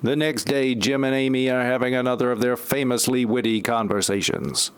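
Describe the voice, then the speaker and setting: A man's voice: medium pitch, steady tone, fairly quick, clean tone, no room sound. A man narrating a parody audio play from a script, with wry asides.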